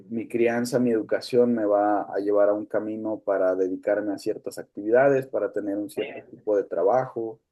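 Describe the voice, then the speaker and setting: A man teaching a spiritual class over a video call, speaking in a calm, measured way with pauses and rhetorical questions. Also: lowish voice, poor audio. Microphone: computer mic on a video call, no headphones.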